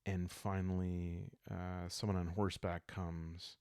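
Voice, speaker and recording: A man calmly narrating an improvised solo roleplay story in a soft-spoken, halting way, as if for sleep. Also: sits low, clear, resonant tone, no echo, studio quality.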